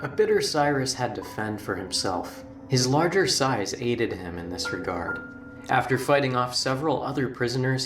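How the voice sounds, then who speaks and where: Low-voiced male narrator, roomy and distant. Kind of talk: scripted storytelling narration, horror documentary. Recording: roomy, distant playback with music. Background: background music.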